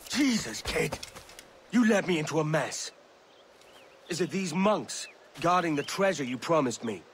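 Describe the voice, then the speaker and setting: A man's voice: a little high, sing-song, unhurried. A man playing a brash, roguish pirate captain in a video game, sardonic and swaggering. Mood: exasperated. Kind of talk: video game cutscene dialogue. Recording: noisy outdoor ambience behind.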